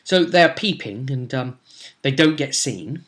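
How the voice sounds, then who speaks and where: A young man with a bright, forceful voice and average pitch talks casually through a role-play session. He tells it like a game master, in a rambling way with halting pauses, recorded in a room at home. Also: expressive. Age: young adult. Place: small room at home, indoors.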